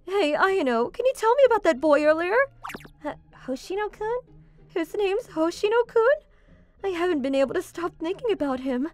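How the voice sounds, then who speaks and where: A woman's voice: very high-pitched, expressive, normal speed, darkish tone, soft background music. A woman playing a sweet, caring and easily flustered teenage schoolgirl in a manga dub, cutesy and stammering. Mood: lovestruck. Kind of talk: manga dub voice acting, scripted.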